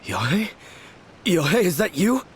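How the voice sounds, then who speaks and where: Shaken young swordsman, expressive pitch. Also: measured pace, a little hiss or hum. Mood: dazed, shaken. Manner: earnest, shaken, self-deprecating. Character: weary, sheepish young swordsman freed from possession. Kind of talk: video game voice acting. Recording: faint music behind.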